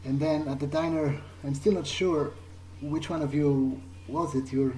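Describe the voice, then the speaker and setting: A man acting as a hesitant, apologetic male vampire with a mellow, slightly high voice. He stammers and pleads in improvised game roleplay, with faint noise behind him. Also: fairly even intonation, a little slow, close to an everyday voice, poor audio. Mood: hesitant, shaken. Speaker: player acting in character.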